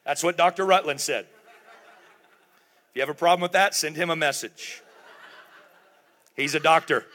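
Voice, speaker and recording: Middle-aged guy preaching hard, bright forceful tone, slightly high voice, lots of rhetorical questions. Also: normal speed, long pauses. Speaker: pastor. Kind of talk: sermon.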